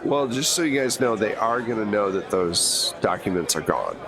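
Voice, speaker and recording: A man's voice: fairly low pitch, animated, moderate pace, a crowd in the background. A man running a tabletop spy game as game master, giving dry, teasing asides to his players.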